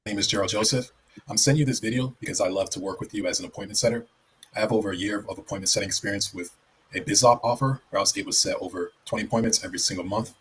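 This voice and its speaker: Man, job applicant, scripted flat reading, deep voice, faint background noise. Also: rough recording. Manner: flat, low-energy reading. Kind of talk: reading a prepared pitch.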